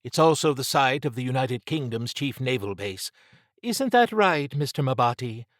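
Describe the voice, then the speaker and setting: A man voicing a sharp, teasing woman investigator in an audiobook, with a brisk, knowing manner. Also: medium pitch, animated, no echo, studio quality, voice pushed up, natural voice. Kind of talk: audiobook character acting.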